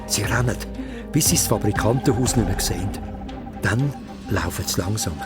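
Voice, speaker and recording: A man's voice: fairly low pitch, moderate pace, lightly acted. A man with a Swiss German accent narrating a children's audio drama in a dramatic storytelling manner. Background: music.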